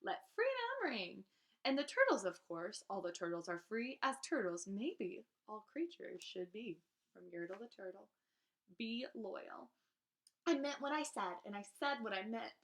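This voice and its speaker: A woman's voice: mid-range voice, very animated, a little slow, fairly bright tone. A woman reading rhyming children's verse aloud in a theatrical, emphatic teacher's manner, drawing out key phrases.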